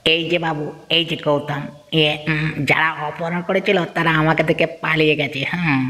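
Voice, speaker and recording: A man's voice: fairly high pitch, fairly even intonation, normal speed, bright, forceful tone. Scheming greedy kidnapper played by a man, heavy reverb. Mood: sly, obsequious. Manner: stammers when flustered. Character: scheming greedy male kidnapper, servile before his master. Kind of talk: Bengali cartoon voice acting. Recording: long hall reverb, music underneath.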